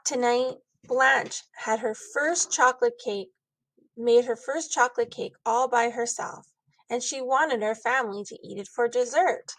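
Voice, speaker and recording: A woman reading a children's storybook aloud as an expressive narrator. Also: a little high, a little slow, dry recording, low-quality recording. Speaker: storybook reader.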